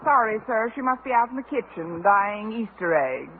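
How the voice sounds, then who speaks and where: A woman playing a quick-witted, sardonic schoolteacher with deadpan asides in a 1950s radio comedy. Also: fairly high pitch. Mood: flustered, evasive. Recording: scratchy, narrow-band old radio recording.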